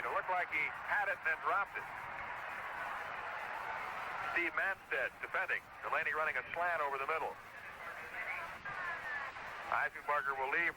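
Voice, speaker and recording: An American man calling a live football game on radio in clipped, present-tense play-by-play phrases. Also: on the high side. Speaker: radio play-by-play sports announcer. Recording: very noisy old 1970s radio broadcast.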